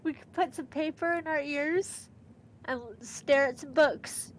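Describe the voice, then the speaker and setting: A fairly high-pitched woman with a stuffed-up, sniffly voice chats through a co-op game stream. She gets excitable and reads on-screen text aloud between casual remarks. Faint ambient noise sits underneath. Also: measured pace. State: stuffy nose from allergies. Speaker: let's play streamer. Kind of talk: casual co-op game commentary, reading dialogue aloud.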